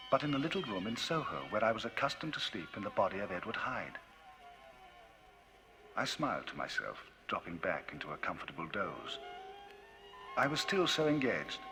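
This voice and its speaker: A man giving a dramatic, theatrical reading of a classic novel as the narrator of a vinyl audiobook, his pitch and loudness rising and falling widely. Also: poor audio. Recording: vinyl audiobook with music bed. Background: music.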